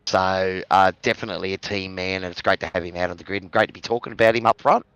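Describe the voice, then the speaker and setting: A man co-commentating a sim race in a relaxed, friendly way, giving drivers encouragement. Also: low-pitched, quick pace, smooth, dry recording, muffled recording. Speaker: co-commentator and streamer. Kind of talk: casual commentary banter.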